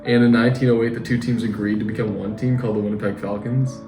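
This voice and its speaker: A young man playing a lanky 1920 hockey winger, hesitantly reading scripted interview answers in a flat delivery. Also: fairly low pitch, fast, natural voice. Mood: matter-of-fact. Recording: echoey room, distant mic, faint music.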